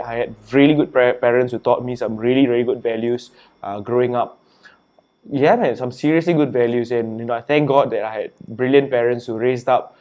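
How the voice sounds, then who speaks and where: A young man from Singapore talks easily as an interview guest, his voice sitting at an ordinary middle pitch. He is relaxed and chatty, leaning on "you know" and "man" as he tells his story. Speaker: interview guest, author and entrepreneur. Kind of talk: casual interview conversation.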